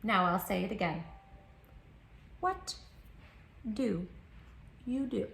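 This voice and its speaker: Animated bright-voiced woman reading to children. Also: medium pitch, takes it slowly, creaky. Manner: expressive, affectionate, playful whispers and exclamations. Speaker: children's storyteller, host. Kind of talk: animated picture-book read-aloud. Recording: roomy echo, faint music behind. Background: faint music.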